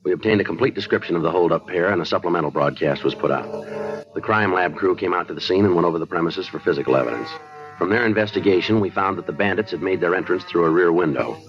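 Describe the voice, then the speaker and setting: An actor playing a terse, matter-of-fact police detective in a 1950s radio crime drama. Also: male voice, low-pitched, quick pace. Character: clipped, businesslike male police detective narrating his case. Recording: muffled 1950s radio recording with music.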